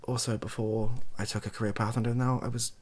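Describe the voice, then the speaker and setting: A young man speaking softly and breathily in a casual, rambling monologue, hesitant and self-deprecating as he gives his opinions. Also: fairly low pitch, level tone, fast talker. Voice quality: soft, husky, breathy. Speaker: online video creator giving opinions.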